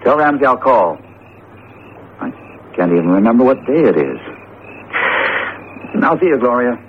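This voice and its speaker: A man's voice: mid-range voice, sing-song, moderate pace, lightly acted. An actor playing a befuddled, weary man in an old radio drama, vague and apologetic in manner. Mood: confused, weary. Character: befuddled, weary man dismissing a friend's worries. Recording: tinny vintage radio broadcast, faint hiss.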